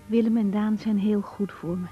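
A woman's voice: mid-range voice, fairly even intonation, moderate pace, soft background music, natural voice. An actress playing a troubled, conscientious young woman doctor in a Dutch radio drama, speaking hesitantly. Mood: brooding, weary. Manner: hesitant, searching for words. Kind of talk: 1980s Dutch radio drama acting with spoken diary monologue. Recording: dull 1980s radio recording.

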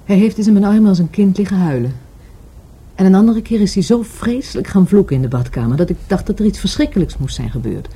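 An actress in a Dutch radio drama playing a wary woman questioned about her dead friend, with a husky voice. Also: mid-range voice, animated, quick pace, mellow. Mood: troubled.

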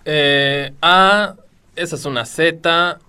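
An Argentine actor playing a sly, easygoing crook in a comedic radio play. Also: male voice, on the high side, drawn-out words, bright, forceful tone, clear, resonant tone, heavily acted. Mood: casual. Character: easygoing, sly male crook hosting guests.

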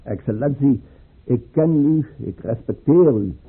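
A very husky male voice of middling pitch plays a principled, anxious chancellor. He speaks formally and with a troubled, measured air in an old radio play, recorded muffled and phone-thin. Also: lively intonation, exaggerated voice. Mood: indignant. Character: anxious, principled imperial chancellor, reluctant conspirator. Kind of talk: Dutch radio play acting.

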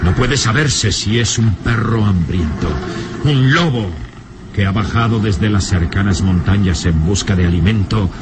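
A man's voice: on the low side, a little slow, background noise, close to an everyday voice. A man narrating an old horror radio serial in an ominous, emphatic, suspenseful style.

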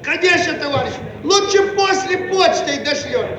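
An actor playing a plain-spoken, bantering comrade in a theatrical satirical radio comedy. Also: male voice, very high-pitched, normal speed. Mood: teasing. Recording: old radio archive, echoey, distant, noisy.

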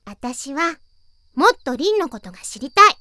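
A woman voicing a teenage girl in an anime audio drama, playfully teasing and softly pouting, with a lonely side. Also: sits high, very animated, normal speed, no room sound, studio quality, heavily acted. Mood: teasing, wistful.

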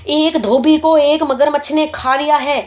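A man's voice: very high voice, fairly even intonation, lo-fi sound, voice pushed up. A woman voicing an exasperated male petitioner in a story, insistently raising the voice and repeating words to be understood. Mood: shouting, exasperated.

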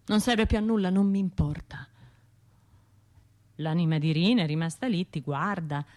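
A woman's voice: lowish voice, normal speed, darkish tone, natural voice. An actress playing a bitter, sardonic, grieving woman with a Tuscan accent in a colloquial radio drama monologue. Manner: colloquial, sardonic, acting out other people's remarks. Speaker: stage and radio actor.

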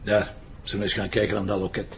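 A man's voice: lowish voice, completely flat delivery, quick pace, a bit bright, lightly acted. A man with a Flemish accent playing a wry, grumbling police commissioner with dry delivery in an audio drama. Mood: official, brisk. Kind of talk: naturalistic audio drama dialogue. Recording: faint background noise.

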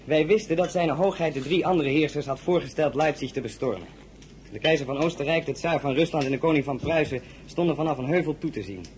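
A man's voice: a little high, fast, a little mellow, slight background noise, close to an everyday voice. A man playing a dutiful aristocratic army officer in a radio drama, reporting in an earnest, formal manner. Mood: proud, earnest.

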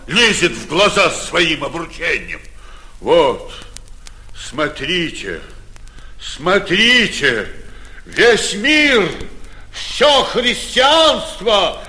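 Old crooked small town mayor, slow, sharp, beating himself up, old radio play. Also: male voice, sits high, big pitch swings, heavily acted. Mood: raging, bitter. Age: older. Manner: theatrical, exclamatory, self-berating. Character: blustering, outraged old provincial mayor, crooked and humiliated. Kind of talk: 1940s Russian stage acting. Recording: 1949 theatre radio production.

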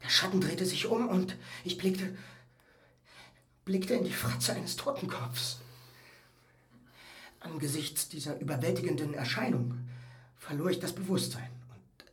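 An actor playing a sensitive young nobleman in a German radio drama, recounting an uncanny experience with halting, awestruck delivery. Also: high-pitched, a bit bright. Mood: shaken, haunted.

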